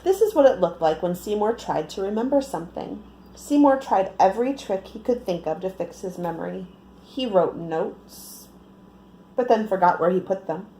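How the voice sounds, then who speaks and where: A woman reading a picture book aloud to young children, expressive and often putting questions to her listeners. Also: mid-range voice, normal speed, a little hiss or hum, poor audio. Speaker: children's storyteller.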